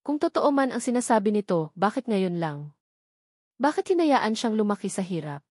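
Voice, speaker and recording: A woman's voice: mid-range voice, very animated, normal speed, darkish tone, no room sound, clean studio sound. A woman voicing a wounded, doubting young working-class man in an audio drama. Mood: hurt. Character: hurt, distrustful young working-class man of twenty-five.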